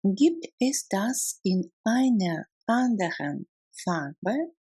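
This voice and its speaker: A woman language teacher reading out phrases for learners to repeat, enunciating deliberately, word by word. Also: mid-range voice, sing-song, dark tone, husky. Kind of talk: slow phrase drill with translations, listen and repeat.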